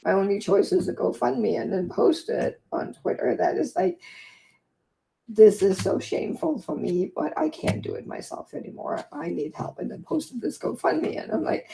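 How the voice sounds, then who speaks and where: A woman from Denmark hosting a casual livestream and reading aloud from her own book, her voice croaky and hoarse from a long day of talking, chatty and easily sidetracked. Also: medium pitch, big pitch swings, measured pace, warm tone, low-quality recording. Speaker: vlog host and author.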